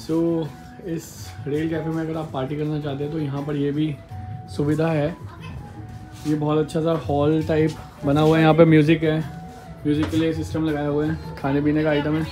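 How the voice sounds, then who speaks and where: A man's voice: a little high, completely flat delivery, a little fast, low-quality recording. A man in his thirties chatting through a casual travel vlog, mixing English words into his Hindi, filmed on a handheld phone at arm's length in a busy street market. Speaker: vlogger. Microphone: phone camera at arm's length. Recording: street crowd and music behind.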